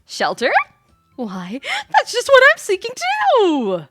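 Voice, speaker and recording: A woman voicing an exuberant young gentleman traveler from a video game, theatrical, florid and over-polite. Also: very high-pitched, huge pitch swings, slow pace, dry recording, soft background music, voice pushed up, full character voice. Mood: grandly courteous.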